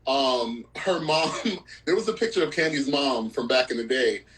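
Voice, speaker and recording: A man's voice: mid-range voice, lively intonation, normal speed, fairly bright tone, low-quality recording. A male podcast host in casual, frank chat, laughing often as he talks.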